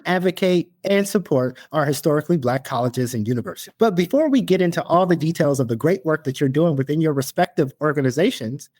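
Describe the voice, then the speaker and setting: A man's voice: on the high side, expressive, fast talker, few pauses, darkish tone, dry recording, clean studio sound. A man hosting a podcast interview, welcoming guests with enthusiasm. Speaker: podcast host.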